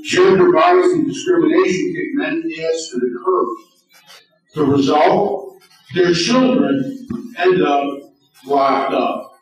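A man's voice: sits high, sing-song, slow, faint music behind. A middle-aged man speaks at a podium microphone in a large hall, and his voice rings with heavy reverb, as if picked up from a few feet back. The sound is a little muffled. He talks with urgent conviction, telling stories and hammering his points home with repetition. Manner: earnest, urgent, storytelling with emphatic repetition. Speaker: lawyer giving a lecture. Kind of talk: speech to an audience. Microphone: podium microphone on a stand. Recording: echoey hall, distant, slightly dull.

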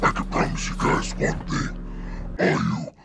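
A man voicing an irritable, panicky, foul-mouthed superhero in a parody game dub, with a gravelly voice and crude, sardonic muttering. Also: unhurried, close to an everyday voice. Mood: irritated, cocky. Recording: music behind the voice.

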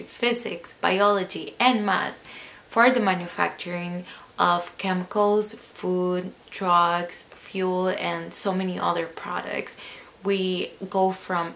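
A young woman from El Salvador, a chemical engineering PhD student, answering interview questions casually with many "like" and "um" fillers in a small bright room. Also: on the low side, vocal fry.

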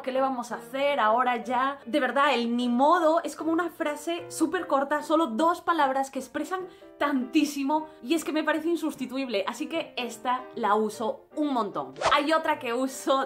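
Animated young Spanish woman vlogging. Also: high voice. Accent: Spanish from Spain. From Spain. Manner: animated, expressive, gestures a lot. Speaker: travel vlogger. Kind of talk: casual vlog monologue. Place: small room indoors.

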